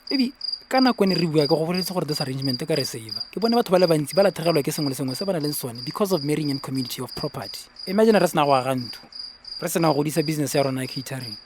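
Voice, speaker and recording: An actor with a Botswana accent playing a reasonable, placating young fiancé in a radio drama, soothingly mixing English and Setswana. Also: male voice, fairly high pitch, background noise, close to an everyday voice. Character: reasonable, placating young fiancé defending his money decision.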